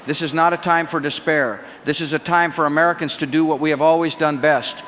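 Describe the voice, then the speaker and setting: A man's voice: a little high, steady tone, a bit bright, a little hiss or hum, natural voice. A man playing a resolute president giving a formal, oratorical address to the nation. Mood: resolute, solemn. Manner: oratorical, deliberate repetition. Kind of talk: formal televised political address.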